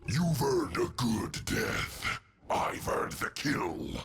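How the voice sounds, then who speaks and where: Game creature: savage lion-like predator, very slow menacing growl, heavily gravelled and low. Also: male voice, big pitch swings, fairly bright tone, heavily acted. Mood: threatening. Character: fearsome savage male lion-like beast hunter, veteran predator. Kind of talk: video game character voice lines.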